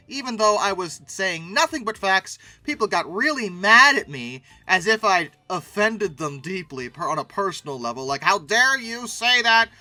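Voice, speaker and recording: An intensely bright, forceful voice hosts casual reaction commentary in a close, dry recording. The pitch swings widely, with theatrical emphasis and words stretched out for comic effect. Music plays softly behind the voice. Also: no echo. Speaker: video host reacting to old paleoart.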